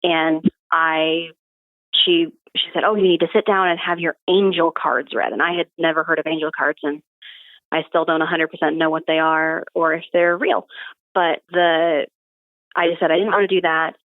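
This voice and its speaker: A woman's voice: deep voice, little pitch movement, moderate pace, no echo. A young woman telling personal stories casually as a podcast guest, conversational and matter-of-fact, over a remote call with narrow phone-like audio. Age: young adult.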